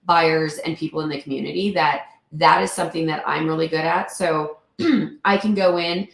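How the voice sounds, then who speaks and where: Low, gravelly woman, throaty from a cold. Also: steady tone, moderate pace, echoey room. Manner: earnest, rambling with many fillers. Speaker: real estate investor guest. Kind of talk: casual interview talk.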